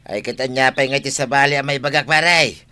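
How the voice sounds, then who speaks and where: Man playing a folksy village husband, very bright voice. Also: medium pitch, fairly even intonation, fast talker, a little hiss or hum, lightly acted. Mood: grateful, chatty. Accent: Filipino. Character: village husband, farmer short of water, folksy. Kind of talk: comedic radio drama acting.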